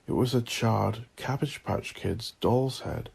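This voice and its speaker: A man narrating dramatic horror fiction as the host of an audio drama, in an ominous, theatrical and deliberate manner. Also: lowish voice, creaky, a little mellow, husky.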